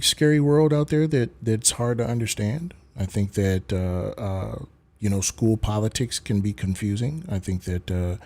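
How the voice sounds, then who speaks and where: A man calmly questioning a kid in a casual online voice chat, patient and probing. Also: low-pitched. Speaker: streamer talking to a kid online.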